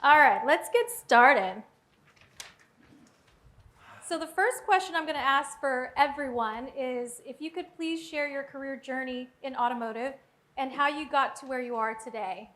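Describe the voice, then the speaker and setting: High-voiced woman moderating a panel. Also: measured pace, fairly bright tone. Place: event venue. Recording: noisy room.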